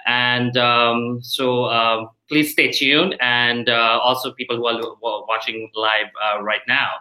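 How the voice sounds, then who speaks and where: A man's voice: medium pitch, little pitch movement, measured pace, sharp tone, rough recording. A man hosting a live online question-and-answer show, talking hesitantly with many fillers. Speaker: show host.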